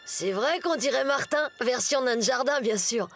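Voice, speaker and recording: A woman's voice: high voice, normal speed, faint music behind. A woman voicing a mean, gleeful schoolboy bully in a children's cartoon, with a taunting, sneering delivery. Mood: mocking. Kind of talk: French children's cartoon acting.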